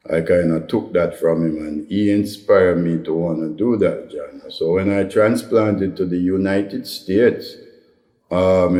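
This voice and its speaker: A middle-aged Jamaican man, a comedian, telling stories in a relaxed, rambling interview, often tagging his lines with "right?" and "you know". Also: sits low, echoey room, poor audio. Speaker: comedian guest.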